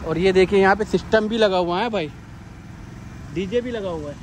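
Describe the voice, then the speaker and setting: An upbeat man guides his viewers around a harbour and a boat at night, chatting and asking questions into his phone. His voice sits fairly high. Loud generator and harbour noise run underneath. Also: moderate pace. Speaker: vlogger. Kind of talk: walking vlog with street interviews.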